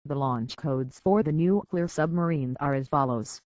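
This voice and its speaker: An actor voicing a remorseful, idealistic synth leader in a video game, speaking in a grave, measured and deliberate way. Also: male voice, fairly high pitch, darkish tone, dry recording, natural voice. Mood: clinical, detached. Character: solemn, remorseful male synth android leader, idealistic and weary.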